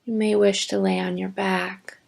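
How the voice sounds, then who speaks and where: A woman teaching yoga nidra, giving gentle, deliberate guided meditation instructions with long pauses. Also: mid-range voice, little pitch movement, gravelly. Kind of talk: slow guided meditation narration.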